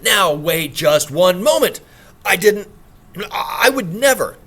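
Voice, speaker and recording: A man doing a sputtering drunk gentleman. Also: high voice, sing-song, slow, pitched above the performer's own voice, exaggerated voice. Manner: sputtering, stammering bluster. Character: drunk blustering rich young gentleman.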